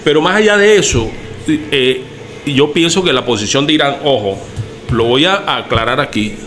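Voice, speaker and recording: A man's voice: mid-range voice, moderate pace, fairly bright tone, a little hiss or hum. A Venezuelan man talking earnestly on a podcast, thinking aloud and restarting his phrases. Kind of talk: casual podcast discussion.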